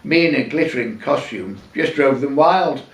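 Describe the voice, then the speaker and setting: A man in his sixties from England reciting his own rhyming comic poem in a measured, theatrical storytelling way, leaning on the rhymes. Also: mid-range voice, expressive. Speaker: amateur poet reading own work. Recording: roomy echo, distant microphone.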